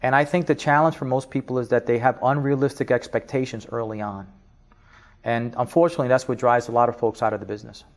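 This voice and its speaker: A middle-aged man from Spanish Harlem with a New York accent, giving an animated interview, laughing often and restarting his sentences. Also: mid-range voice, quick pace. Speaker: interview guest, business leader. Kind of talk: casual interview talk.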